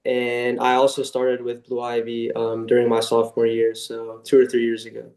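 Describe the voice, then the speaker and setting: A man's voice: mid-range voice, monotone, moderate pace, darkish tone. A young man in his late teens giving a semi-formal testimonial as a student guest, in a roomy, echoing recording. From United States.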